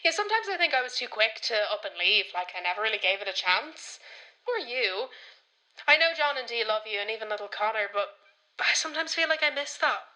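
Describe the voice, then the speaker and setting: Woman playing cheeky young irish granddaughter rambling on a voicemail, highish n really bright, theatrical. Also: expressive, brisk, poor audio. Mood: wistful, confiding. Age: young adult. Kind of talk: radio comedy-drama voicemail monologue.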